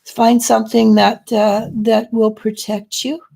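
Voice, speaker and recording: Middle-aged woman psychic host, gravelly, mellow, hoarse from losing her voice, rambles and restarts. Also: a little high, little pitch movement, measured pace. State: losing her voice, clearing throat. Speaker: psychic reader and show host. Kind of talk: live-stream spiritual advice chat.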